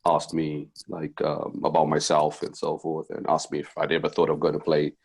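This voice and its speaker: Deep-voiced man from Zimbabwe, rambling. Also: monotone, moderate pace, gravelly, slightly rough. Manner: rambling, many fillers and restarts. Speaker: basketball head coach, guest. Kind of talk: casual interview chat.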